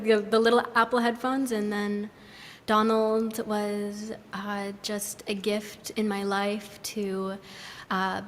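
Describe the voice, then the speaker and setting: A woman's voice: medium pitch, fairly bright tone. A woman chatting casually on a live stream, informal and giggly, drifting into little asides. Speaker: singer and music maker, social media producer. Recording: long reverb on live stream mic.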